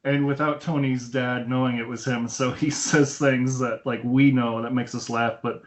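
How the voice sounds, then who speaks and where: A man's voice: medium pitch, level tone, moderate pace. A man co-hosting a casual film review podcast, telling rambling anecdotes over an internet call. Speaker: podcast co-host. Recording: dull lo-fi internet call audio.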